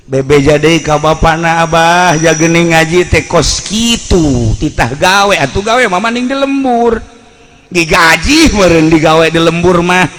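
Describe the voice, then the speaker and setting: Male Islamic preacher, Sundanese accent, slow-paced and bright-voiced, spinning a moral tale in blunt, earthy storyteller fashion. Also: a little high. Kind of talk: Sundanese sermon told as a folk tale.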